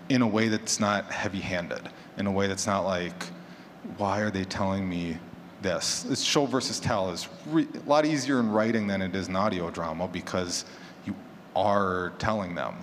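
A man speaking informally on a conference panel about his craft, conversational, posing rhetorical questions and voicing imagined quotes. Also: lowish voice. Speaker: panelist, fiction podcaster. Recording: reverberant hall, clear background noise.

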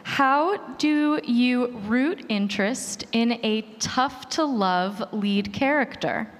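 A woman's voice: a little high, takes it slowly. A woman moderating a panel, introducing topics and putting questions to the panelists. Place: large reverberant hall at a live panel. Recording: echoey hall, background noise.

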